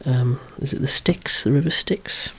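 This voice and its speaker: A person whispering an ASMR show-and-tell of a collection, musing along in a hushed voice with gently wry asides. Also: medium pitch, moderate pace. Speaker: presenter of a toy collection.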